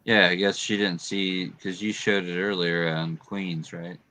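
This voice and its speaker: A man's voice: sits low, steady tone, measured pace, bright, forceful tone, a little hiss or hum. A man chatting on a live stream in a laid-back way, swearing casually. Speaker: panel guest.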